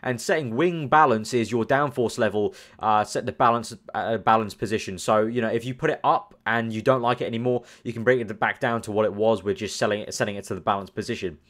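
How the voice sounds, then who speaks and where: A man's voice: lowish voice, fast, few pauses, a bit bright. A young man from the UK, chatting casually as a gaming channel host, rambling and thinking aloud with lots of fillers. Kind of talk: casual commentary over screen.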